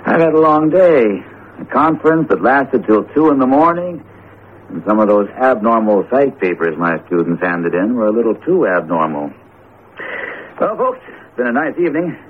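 An actor in a radio drama playing an earnest, determined teacher with deliberate, theatrical phrasing. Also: male voice, mid-range voice, lively intonation, lightly acted. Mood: vague, breezy. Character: jumpy, determined male teacher confronting a cursed statue. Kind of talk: vintage radio drama acting. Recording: tinny, narrowband old radio broadcast, noisy.

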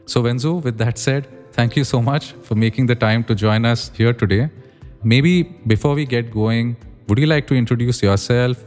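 A man's voice: mid-range voice, a little mellow, big-room sound. A man hosting a podcast, asking polite, structured questions. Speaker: podcast host. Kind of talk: scripted podcast intro then interview.

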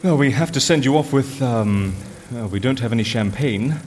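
A man playing a jovial, excitable character in an audio drama, bumbling and chirpy. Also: mid-range voice, normal speed, in a large hall, natural voice. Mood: cheerful.

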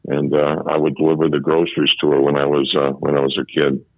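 Older man, seventies, very low voice, halting storyteller, dry phone-line sound. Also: completely flat delivery, vocal fry, no room sound. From Chicago, USA. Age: 70s. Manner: halting, repeats and restarts phrases. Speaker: interviewee, former policeman. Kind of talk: reminiscing in a phone interview. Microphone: telephone.

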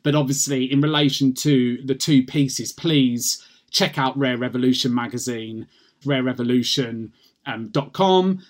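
A British man, a guest patient advocate, talking in an energetic, passionate way with many restarts in a casual podcast interview. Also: medium pitch. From United Kingdom.

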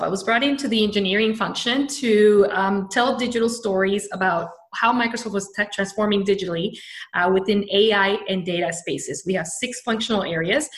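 A woman's voice: mid-range voice, a bit bright. A woman from Venezuela, a brand storyteller, giving an upbeat podcast interview with many fillers and restarts. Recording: echoey room.

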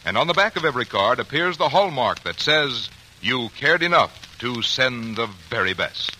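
A man announcing a radio program and its sponsor in a smooth, persuasive way. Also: medium pitch, big pitch swings, moderate pace, sharp tone, slight background noise, rough recording.

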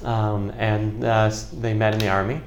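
A man's voice: on the low side, flat delivery, normal speed, roomy sound, dull audio, slight background noise. A middle-aged man with an American accent answering interview questions conversationally, with a wry, joking delivery. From United States, Chicago area. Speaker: interview guest, political journalist. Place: indoor office in a parliament building.

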